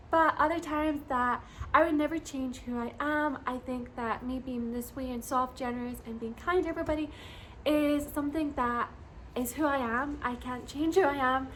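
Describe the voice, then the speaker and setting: A woman's voice: sits high, fairly bright tone, a little hiss or hum, low-quality recording. A young woman from Scotland, chatting casually and bubbly to her viewers, giggling often. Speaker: vlogger. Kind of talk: casual chat to camera.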